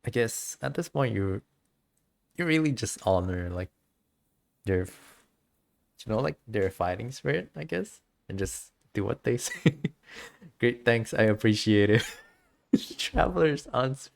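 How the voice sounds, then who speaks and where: Low-voiced man streaming a game. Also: expressive, unhurried, creaky, long pauses. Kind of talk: casual playthrough commentary with dialogue read aloud.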